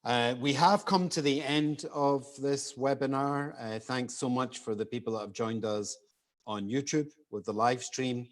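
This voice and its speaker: A man's voice: mid-range voice, unhurried. A man moderating an online event in an informal hosting style. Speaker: webinar moderator. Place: studio for online streaming.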